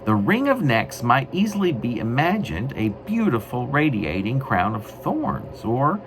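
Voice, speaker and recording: A man reading his own poetry in a wry, mock-grandiose way, with deliberate, literary phrasing. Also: mid-range voice, very animated. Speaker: poet reading own work. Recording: noisy amateur recording.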